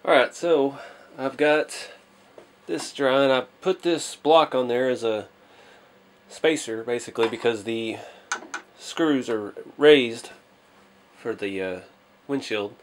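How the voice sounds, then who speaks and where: A man's voice: mid-range voice, slow pace, pauses often. A man hosting a hobby build video, talking casually and thinking aloud as he works with his hands.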